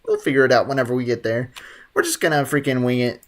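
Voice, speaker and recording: A man's voice: medium pitch, wildly swooping pitch, fast. A man streaming a video game, casually rambling, joking and chatting with viewers. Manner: rambling, joking, talks to chat. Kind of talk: casual gameplay commentary.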